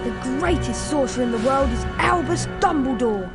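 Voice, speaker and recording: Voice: high voice, animated, normal speed, over loud music. An actor playing a brave, earnest schoolboy wizard in a video game, with an urgent manner. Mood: alarmed.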